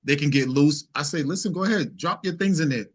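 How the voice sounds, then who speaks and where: A man's voice: on the high side, brisk. A man speaking as a musician guest in casual conversation, explaining things and checking for agreement.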